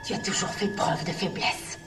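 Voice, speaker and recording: A woman's voice: moderate pace, distant mic, music in the background, close to an everyday voice. A woman dubbing a cold, vengeful sci-fi villain, accusing and menacing. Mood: scornful, vengeful. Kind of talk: dubbed film acting.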